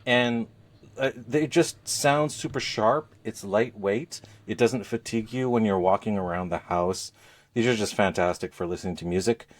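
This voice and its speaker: A man with a low-pitched voice talks as a podcast co-host in casual conversation. He goes along haltingly, feeling for the right word as he explains. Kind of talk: casual chat about gadgets.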